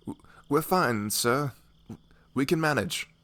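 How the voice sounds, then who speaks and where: A man's voice: mid-range voice, animated, unhurried, sharp tone, pitched above the performer's own voice. A man playing a devoted, protective young knight in love, tender and hesitant, in a romantic fantasy ASMR roleplay. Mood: wary.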